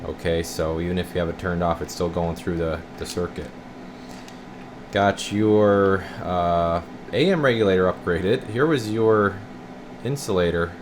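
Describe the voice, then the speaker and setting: Male repair tech, deep-pitched, informal and folksy, demonstrating on camera in a workshop with clear background noise. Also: unhurried. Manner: folksy, informal, addressing viewers as guys. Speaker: radio repair technician. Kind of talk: casual hands-on repair walkthrough to camera. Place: indoor radio repair workshop. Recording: clear steady room noise.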